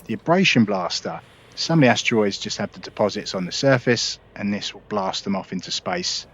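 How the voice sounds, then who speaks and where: Man, gaming video host, fairly low voice, chatty walkthrough, explanatory, lots of fillers. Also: big pitch swings, fairly quick, a bit bright, dull audio. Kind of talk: casual gameplay walkthrough.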